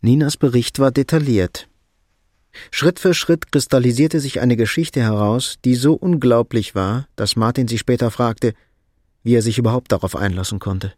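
A man narrating a scripted literary radio drama in a sober, measured way. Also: mid-range voice, a little mellow, no room sound, studio quality.

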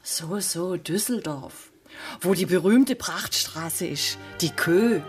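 A chatty, inquisitive church woman in a radio play, with a southern German accent. Her intonation is very lively, and soft music plays behind her. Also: fairly low pitch, takes it slowly, recorded from a distance. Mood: chatty, puzzled. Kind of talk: radio drama acting.

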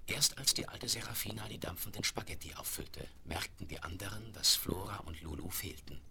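Male narrator: deep, gravelly, measured storybook reading for 1970s audio drama on heavily crackling record. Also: lively intonation. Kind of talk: scripted storybook narration, 1970s radio play. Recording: very noisy vinyl record rip.

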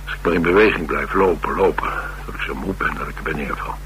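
An actor with a Netherlands Dutch accent playing a troubled, blunt ex-soldier and restless drifter in a radio drama, wry and halting in delivery. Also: male voice, extremely low pitch, a bit bright. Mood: troubled, uneasy. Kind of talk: radio drama dialogue acting. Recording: radio drama with clear hiss and hum.

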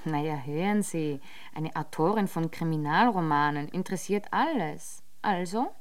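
Actress as a dryly witty detective-agency secretary. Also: female voice, sits low, normal speed, close to an everyday voice. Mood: matter-of-fact. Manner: dry, deadpan wit. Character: coolly witty detective-agency secretary writing crime novels. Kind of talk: radio drama acting.

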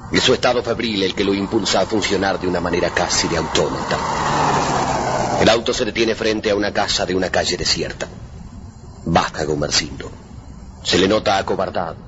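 A man narrating an old radio drama from a script in a solemn, measured, theatrical way. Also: low-pitched, noisy background. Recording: dull archive radio recording, music behind.

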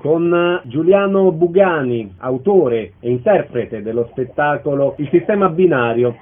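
A man's voice: on the high side, lively intonation, measured pace, soft background music. A man hosting a radio show, asking short questions and announcing details in a concise, orderly way. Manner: concise, orderly presenter delivery. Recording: band-limited tinny sound, faint noise.

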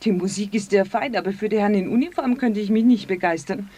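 A woman's voice: medium pitch, quick pace, mellow, husky, close to an everyday voice. An actress playing a plain-spoken, wary young woman in a radio play. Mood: chatty, candid. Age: young adult. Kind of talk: natural radio play dialogue.

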